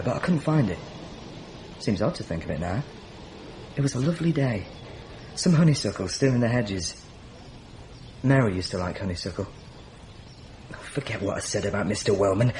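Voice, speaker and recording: An actor playing a simple, earnest, wounded young village mechanic in a radio drama, speaking plainly and haltingly. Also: male voice, mid-range voice, lively intonation, a little hiss or hum, lightly acted. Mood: wistful.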